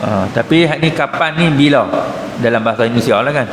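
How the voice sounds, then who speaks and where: A man's voice: mid-range voice, fast. Echoey Kedah Malay male religious teacher. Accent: northern Malay, Kedah. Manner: colloquial, explanatory, punctuated with short check-in questions. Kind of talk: mosque lecture explaining a religious text. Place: mosque hall. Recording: echoey hall, reverberant, noticeable background noise.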